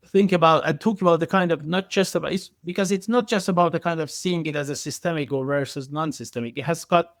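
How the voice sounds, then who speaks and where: A man speaking as an expert podcast guest in a conversational way, haltingly, often repeating words and circling through filler phrases. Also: on the high side, dry recording, studio quality. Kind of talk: conversational expert interview answers.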